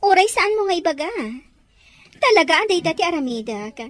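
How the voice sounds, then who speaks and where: A woman's voice: extremely high pitch, big pitch swings, a little fast, a bit bright, heavily acted. A woman with a Filipino accent playing a cheeky, gossipy friend in a melodramatic audio drama. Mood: teasing.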